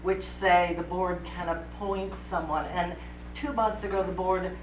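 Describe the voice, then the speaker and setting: Blunt woman on a board, big loud voice, kinda far from the mic, echoey room. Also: fairly low pitch, steady tone, a little slow, slight background noise. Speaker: board member. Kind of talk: heated meeting discussion and reading aloud. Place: community meeting room.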